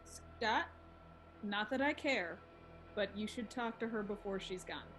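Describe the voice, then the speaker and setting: A woman playing a sardonic, blunt young female drummer with a deadpan manner in a table read. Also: medium pitch, unhurried, soft background music, natural voice, poor audio.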